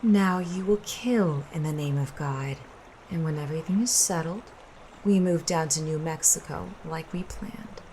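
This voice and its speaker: A woman acts out a cold, murderous female schemer in an audio drama. Her very low voice stays calm and commanding as she persuades. Faint watery noise sits behind it. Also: a little slow, poor audio.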